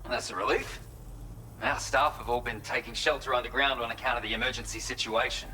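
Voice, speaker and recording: A man's voice: medium pitch, fairly even intonation, a little fast, pushed and bright, a little hiss or hum, low-quality recording. A man with an Australian accent playing an earnest, matter-of-fact facility worker in a video game. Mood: relieved, earnest. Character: grateful, businesslike male facility worker. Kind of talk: video game cutscene acting.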